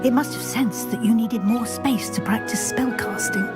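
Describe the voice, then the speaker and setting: Woman playing high-voiced witch professor. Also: moderate pace, lightly acted. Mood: composed instruction. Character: composed, authoritative female witch professor. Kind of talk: video game voice acting. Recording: music underneath. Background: music.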